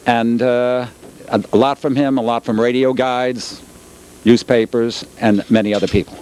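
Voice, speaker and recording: A middle-aged man giving casual interview answers in a halting, matter-of-fact way. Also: medium pitch, steady tone, darkish tone, slight background noise, rough recording. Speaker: newsletter publisher and convention organizer.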